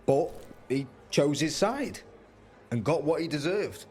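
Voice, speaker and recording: A man's voice: a little high, expressive, a little slow, darkish tone. A man playing a hard-bitten, pragmatic rebel fighter in a fantasy game, blunt and wry. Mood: grimly satisfied. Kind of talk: dramatic fantasy game acting. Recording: music under the voice.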